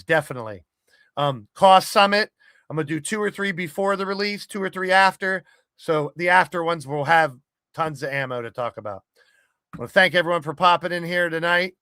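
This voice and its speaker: Man, gaming podcaster. Forceful, slightly high voice, blunt and loose casual delivery, dry recording. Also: clean tone, dry recording. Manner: blunt, sweary, rambling. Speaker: podcast host and wrestling game streamer. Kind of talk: casual monologue to an online community.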